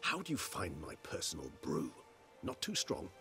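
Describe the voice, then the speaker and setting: A man's voice: very deep voice, wildly swooping pitch, moderate pace, creaky, pushed and bright, faint music behind, full character voice. An actor playing an urbane, philosophical immortal in a fantasy game, wry and erudite in his phrasing. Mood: detached, wry.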